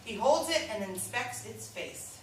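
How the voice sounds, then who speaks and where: A very echoey, distant recording with faint noise. A woman with a fairly high voice reads out stage directions as scripted narration at a live play reading. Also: expressive, unhurried.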